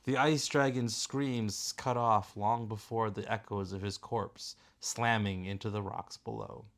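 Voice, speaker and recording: Slow-paced man reading a dramatic story. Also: on the low side, steady tone, fairly bright tone. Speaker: narrator, father reading aloud. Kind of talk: dramatic storybook read-aloud narration.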